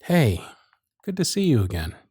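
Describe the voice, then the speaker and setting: Man playing a smitten, soft-spoken circus magician, husky voice. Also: medium pitch, moderate pace, no echo, clean studio sound. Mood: warm, charming. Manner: soft-spoken, flattering, tender, with hushed asides. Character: smitten male circus magician, charming, gentle, privately anxious. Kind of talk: ASMR romance roleplay with intimate inner monologue.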